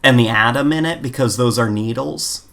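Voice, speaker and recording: A man's voice: mid-range voice, moderate pace, creaky, a bit bright. A man co-hosting a podcast in casual gaming chat, talking in an informal, opinionated, rambling way peppered with "like". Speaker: podcast co-host.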